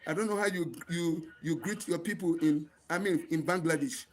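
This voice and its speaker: A man's voice: fairly high pitch, moderate pace. A man with a Nigerian accent speaking earnestly to viewers as a channel host, repeating himself for emphasis. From Nigeria, Delta State. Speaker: host of an online channel, NGO advocate. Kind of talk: livestream monologue addressed to viewers.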